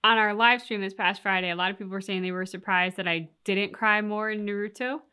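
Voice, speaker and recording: A woman's voice: mid-range voice, steady tone, brisk, bright, forceful tone, clean tone. A woman co-hosting a reaction video in casual, conversational talk. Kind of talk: casual chat.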